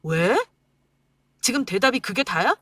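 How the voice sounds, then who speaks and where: Woman reading as a scornful husband in a storybook drama, high-ish, dry close sound. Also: very animated, unhurried, no echo, full character voice. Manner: shouting, scornful and bitter. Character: angry stingy husband, adult man.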